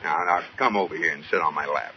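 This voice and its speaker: An American actor playing a wry, affectionate but exasperated husband in an old-time radio comedy. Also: male voice, rumbling low, fast. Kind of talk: 1940s-50s radio comedy acting. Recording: noisy, muffled old radio recording.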